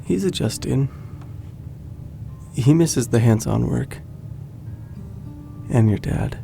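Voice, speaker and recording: An actor playing a calm, reassuring young man in a naturalistic audio drama, easygoing and measured. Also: mid-range voice, gravelly, faint music behind, full character voice. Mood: measured, persuasive. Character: laid-back, reassuring young man, loyal childhood friend.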